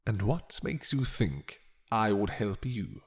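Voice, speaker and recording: A man voicing a proud vampire lord in a dramatic roleplay, courtly, measured and threatening. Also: fairly low pitch, sing-song, breathy edge, exaggerated voice. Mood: formal, guarded. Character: proud, menacing, smooth-talking male vampire lord.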